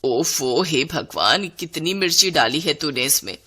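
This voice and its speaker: A woman's voice: sits low, lively intonation, a little slow, pushed and bright, slightly rough, voice pushed down, exaggerated voice. A woman voicing an exasperated middle-aged Indian mother-in-law in a cartoon story, scolding and fretting with dramatic exclamations.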